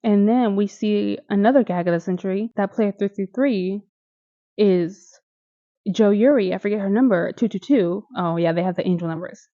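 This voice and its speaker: A young woman with a Midwestern American accent, chatting casually and animatedly as she recaps a TV series for her viewers, with quick asides and laughs. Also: mid-range voice, no room sound, phone-quality sound. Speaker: video reviewer.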